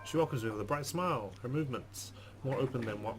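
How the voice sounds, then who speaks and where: A man's voice: mid-range voice, quick pace, music in the background. A man reading a visual novel aloud as a let's play host, slipping in his own asides.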